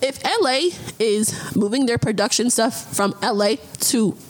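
A woman's voice: on the high side, measured pace, low-quality recording. Chatty laughing woman. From Atlanta, Georgia. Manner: chatty, laughs often, slangy and loose. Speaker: guest. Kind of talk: casual podcast chat.

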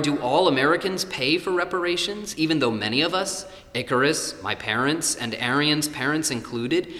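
A measured, self-assured man an actor in a radio play lectures like a conservative panelist. His voice is bright and forward, articulate, and rings through a long hall reverb. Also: on the high side. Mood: defensive, reasoned. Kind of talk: staged panel debate in an audio play.

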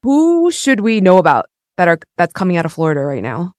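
A woman's voice: on the low side, wildly swooping pitch, brisk, no room sound, clean studio sound. A woman hosting an interview in a casual, chatty style. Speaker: podcast interview host.

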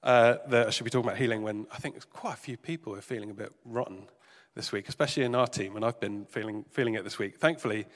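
Congested preacher in a reverberant hall. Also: male voice, fairly low pitch, quick pace. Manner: gentle, careful, conversational with fillers. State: congested, slightly nasal. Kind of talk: sermon. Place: church service hall. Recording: reverberant hall with faint music.

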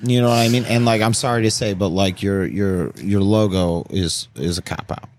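A man's voice: sits low, normal speed, darkish tone. A man co-hosting a podcast, chatting casually with joking banter and questions for the others. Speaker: podcast co-host.

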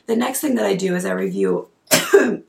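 A woman in her thirties hosting a podcast at home, hoarse from a cold, talking in a chatty, earnest way. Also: mid-range voice, huge pitch swings, moderate pace, slight background noise. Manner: chatty and earnest, with fillers and coughs. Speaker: podcast host and coach. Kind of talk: casual solo podcast talk. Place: at home at night.

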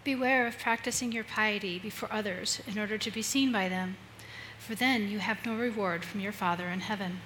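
Woman reading bible out loud, mid pitch, little bit of room noise. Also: fairly quick, rough recording. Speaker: scripture reader. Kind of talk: read-aloud Bible passage. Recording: faint background ambient noise.

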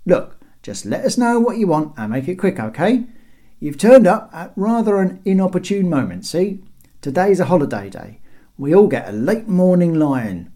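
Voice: mid-range voice, sing-song, brisk, dark tone, close to an everyday voice. A narrator playing a cheeky male city guard in an audiobook, chatting offhandedly in colloquial British banter. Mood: breezy, nonchalant.

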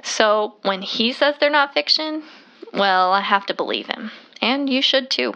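A woman's voice: medium pitch, sing-song, measured pace, pushed and bright, exaggerated voice. A woman playing a quirky, earnest waitress and devoted fan in an audio drama, chatty and gushing. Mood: earnest, enthusiastic.

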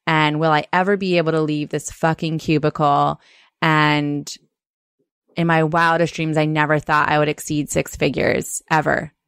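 A woman co-hosting a podcast speaks frankly and earnestly, with emphatic moments and the odd curse. Her voice is low, smooth and clear. The recording is dry and close-miked. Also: flat delivery, normal speed, a bit bright, no room sound. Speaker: podcast co-host. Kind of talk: casual podcast chat.

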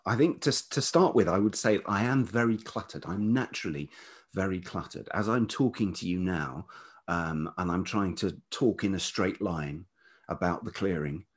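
A middle-aged British man with a velvety voice, talking reflectively and haltingly as an interview guest, often circling back on his thoughts. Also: deep voice, breathy edge. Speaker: podcast guest, writer and radio producer.

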